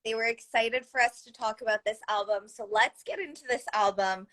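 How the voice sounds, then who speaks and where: A woman's voice: fairly high pitch, a little fast, a bit bright. A young woman hosting a live interview in an upbeat, encouraging way.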